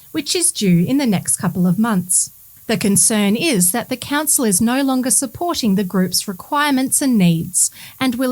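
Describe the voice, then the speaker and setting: A woman reads a community bulletin story as scripted narration in a measured, formal way. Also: mid-range voice. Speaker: reader of community bulletin stories. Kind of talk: scripted news narration, read aloud.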